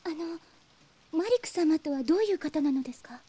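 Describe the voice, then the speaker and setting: A woman playing an earnest, gentle young girl in an anime audio drama, speaking politely. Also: high-pitched, animated, a little fast. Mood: polite concern.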